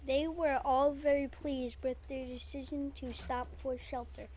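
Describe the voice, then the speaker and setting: A woman's voice: sits high, level tone, unhurried. A woman reading storybook narration aloud as part of a readers theater. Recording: faint background noise.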